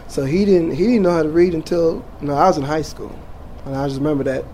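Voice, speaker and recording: Man, 40s, from Jamaica, teacher, casual rambling talk, slightly high voice. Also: fast talker, a little hiss or hum, poor audio. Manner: relaxed, rambling with many fillers and restarts. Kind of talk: casual interview.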